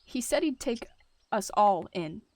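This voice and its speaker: A woman's voice: medium pitch, expressive, a little slow, a little mellow, no echo. A woman playing a large, bitter male warrior cat deputy in a roleplay, muttering and snarling his words. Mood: earnest, hushed. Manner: growling, snarling, muttering delivery.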